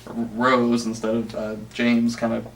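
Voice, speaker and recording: A man's voice: mid-range voice, completely flat delivery, a little slow, vocal fry. A man co-hosting a video game show, chatting casually about games and movies. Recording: distant mic, faint background noise.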